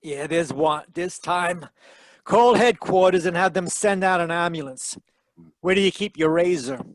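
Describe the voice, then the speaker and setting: An actor playing a wisecracking hard-boiled private detective in a 1940s radio style, with a wry, glib manner. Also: male voice, high-pitched, unhurried, no echo. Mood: brisk, decisive.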